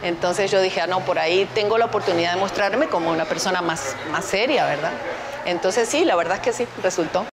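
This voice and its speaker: A woman's voice: fairly low pitch, a little fast, a crowd in the background, background noise. A woman in her fifties from Uruguay, with a Uruguayan Spanish accent, speaking as a guest in a TV studio interview on a lapel mic. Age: 50s. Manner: lively, animated, laughs easily, gestures with hands. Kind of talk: TV interview, casual chat. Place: TV studio with stone backdrop.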